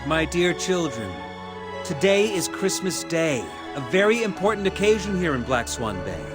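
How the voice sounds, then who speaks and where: An actor playing an older man in a video game, a paternal leader addressing children in measured, formal speeches. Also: high voice, very animated, music in the background. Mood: solemn, announcing.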